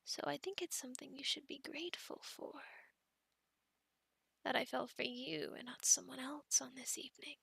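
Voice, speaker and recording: A woman playing a possessive, lovestruck female werewolf in a whispered ASMR roleplay, slow and coaxing, soothing yet menacing. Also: high-pitched, fairly even intonation, bright, forceful tone, no room sound, heavily acted. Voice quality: breathy whisper with creaky edges.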